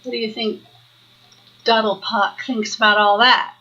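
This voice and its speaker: A woman reads a children's storybook aloud with sing-song expression. Her voice is high and bright, and she takes her time, inviting the listener in. The recording is a little lo-fi. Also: level tone, measured pace. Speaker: storybook reader.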